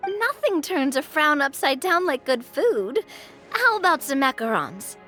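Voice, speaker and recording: A woman's voice: sits high, very animated, a little slow, pushed and bright, soft background music, exaggerated voice. A woman voicing a bubbly, whimsical young girl adventurer in a video game, sweet, chirpy and relentlessly optimistic. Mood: bubbly, coaxing.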